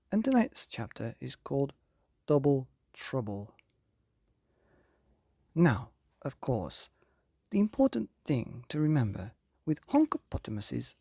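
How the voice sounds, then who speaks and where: A man tells a children's bedtime story as a podcast host. His voice is husky and swings widely in pitch, theatrical with drawn-out words and hushed phrases. The sound is close and dry. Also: no echo.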